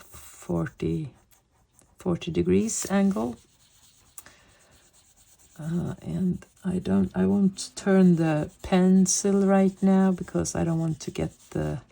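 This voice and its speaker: Swedish-born woman almost 60, second language english, low gravelly voice, rambly chatty vlog talk. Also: warm tone. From Sweden. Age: 50s. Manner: chatty, rambling, searching for words. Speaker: coloring vlogger. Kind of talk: casual talk while coloring.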